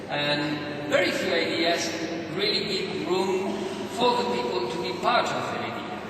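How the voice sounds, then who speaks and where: A man's voice: on the high side, noisy background. Argentinian man speaking in an echoey hall. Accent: Argentinian Spanish. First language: Spanish. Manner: halting, restarts and searches for words. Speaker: conference speaker. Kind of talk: conference talk. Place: large hall. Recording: echoey hall recorded from far off.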